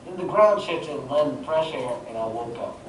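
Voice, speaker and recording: A man's voice: a little high, animated, moderate pace, close to an everyday voice. A man playing an earnest, boyish, brave young space adventurer in an old-time radio serial reading. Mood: earnest recounting. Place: community playhouse stage, live reading. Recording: roomy, distant mic, noisy stage recording.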